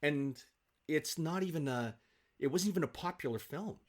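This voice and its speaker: A man in an interview, telling anecdotes with nerdy, animated enthusiasm. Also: a little high, moderate pace. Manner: enthusiastic, self-confessed film nerd. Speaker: guest, stage actor.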